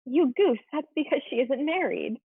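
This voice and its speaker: Thin, dry, phone-like audio of a girlish schoolgirl in a period play, acted by a woman. The voice sits fairly high with some rasp. The manner is opinionated and scornful. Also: lively intonation, normal speed, no echo. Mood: dreamy, bossy. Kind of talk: dramatic reading of a period play.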